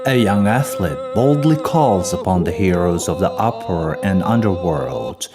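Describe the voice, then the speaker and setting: Male narrator for folk epic, lowish pitch, lively intonation, formal measured storytelling. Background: music.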